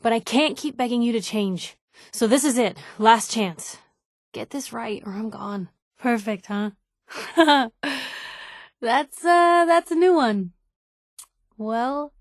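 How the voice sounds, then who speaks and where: A feisty young newspaper reporter, with a fairly high voice and a wry, slangy way of talking. She is an expressive, loyal game companion, recorded dry with no room sound. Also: slow, long pauses, dry recording. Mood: fed up, then flustered, tender. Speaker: voice actor for a game companion character. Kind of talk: video game companion dialogue, conversational acting.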